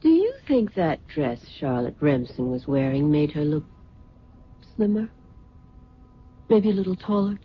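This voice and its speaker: Vain, grand fashion-queen mother: low-pitched, theatrical, condescending, very animated; muffled vintage radio sound. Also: unhurried, long pauses, slightly rough, heavily acted. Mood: languid, self-satisfied. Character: vain, imperious middle-aged fashion designer mother. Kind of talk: old-time radio drama acting.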